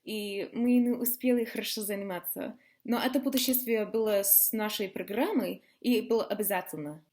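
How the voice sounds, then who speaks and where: Woman speaking wry, Russian. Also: on the high side. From United States. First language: English. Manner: wry, deadpan asides, switching into English. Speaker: vlogger. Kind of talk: casual vlog monologue.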